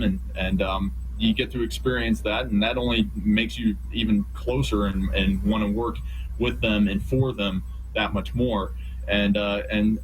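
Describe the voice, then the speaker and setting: An American man with a fairly low voice talks as a guest in a casual interview. He rambles and doubles back on his words as he reminisces. There is faint noise behind him. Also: low-quality recording. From St. Louis region, USA. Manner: rambling, halting, repeats words. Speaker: interview guest, radio professional.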